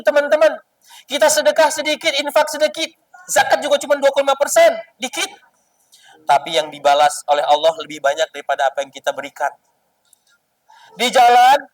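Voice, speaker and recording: A man's voice: extremely high pitch, distant mic, low-quality recording. A man telling stories to children as an Islamic storyteller, teasing and explaining as he goes.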